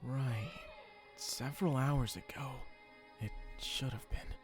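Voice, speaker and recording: A man's voice: mid-range voice, fairly even intonation, slow pace, music in the background. A man playing a bewildered, self-deprecating teenage boy hero in an anime-style fandub, talking informally to himself. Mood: confused. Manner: informal, muttering to himself, exclamatory.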